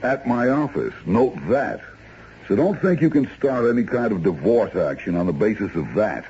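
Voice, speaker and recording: A man's voice: on the low side, expressive, normal speed, darkish tone, close to an everyday voice. An actor playing an indignant, haughty male attorney in a 1970s radio drama, curt, precise and defensive. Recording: dull, noisy old radio recording.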